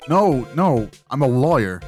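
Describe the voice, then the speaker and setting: A woman's voice: extremely low pitch, big pitch swings, a little slow, warm tone, faint music behind, voice pushed down, full character voice. A woman voicing an earnest young male defense lawyer, wryly skeptical, with dry asides in a video game dub. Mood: wry, correcting. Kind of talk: video game dialogue and inner monologue.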